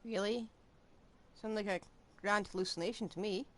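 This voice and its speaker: A woman streaming a video game, making relaxed, quiet comments to herself as she plays. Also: medium pitch, normal speed, slight background noise. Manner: relaxed, muttering asides to herself. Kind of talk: casual gameplay commentary. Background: game music.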